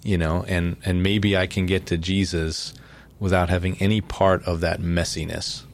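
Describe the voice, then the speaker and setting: A man who is a pastor hosting a podcast, talking in a relaxed, rambling way. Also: deep voice, normal speed, vocal fry, slight background noise. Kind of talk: casual chat.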